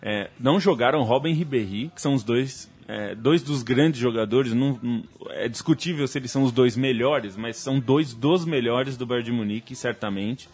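A man from Brazil explaining football matches in a calm, measured way during a casual debate. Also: mid-range voice, husky. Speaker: football pundit.

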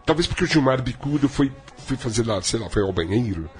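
A man's voice: expressive, fairly quick, soft background music. A man co-hosting a podcast, telling a sardonic, rambling story in casual comedic chat. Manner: rambling, sardonic storytelling with big swings in pitch. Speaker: podcast co-host.

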